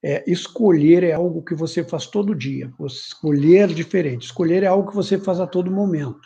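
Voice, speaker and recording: A man giving an informal, animated self-development lecture, sometimes mimicking complaints in a higher voice. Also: brisk, creaky. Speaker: personal development teacher. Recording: muffled lo-fi audio.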